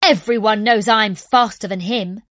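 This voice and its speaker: A woman voicing a boastful, energetic male hare in a children's story, with an excitable, bragging manner. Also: medium pitch, unhurried, no echo, clean studio sound, natural voice. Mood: indignant.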